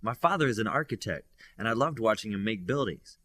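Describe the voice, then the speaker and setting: A man's voice: on the low side, animated, fairly quick, bright, forceful tone. A man voicing a modest, eager and curious young boy superhero in an audiobook. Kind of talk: audiobook character dialogue.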